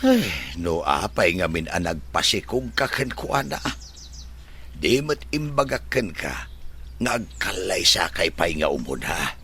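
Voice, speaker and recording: Blunt father, bright and swooping. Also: low-pitched, sing-song, a little slow, slight background noise, exaggerated voice. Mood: scolding. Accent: Ilocano. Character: blunt nagging father, soon-to-be grandfather. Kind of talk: Ilocano radio drama acting.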